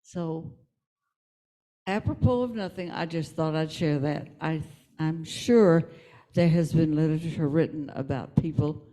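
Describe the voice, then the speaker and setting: A woman's voice: deep voice, warm tone, low-quality recording. A woman offering an informal comment from among a group, as an audience member.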